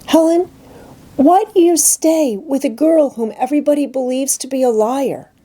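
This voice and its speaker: Woman voicing an anguished young orphan schoolgirl, pitched up. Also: moderate pace, warm tone, close to an everyday voice. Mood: hurt, distressed. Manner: impassioned, pleading, self-pitying. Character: anguished orphan schoolgirl, about ten, passionate and despairing. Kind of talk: dramatised audiobook reading.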